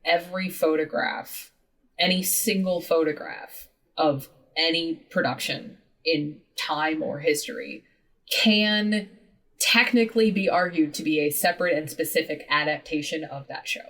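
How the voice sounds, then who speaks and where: A woman in her thirties, a podcast guest talking in a lively, casual way, full of "like" and casual swearing. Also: deep voice, measured pace, recorded from a distance, poor audio. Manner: animated, heavy use of "like", swears casually. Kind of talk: casual interview chat.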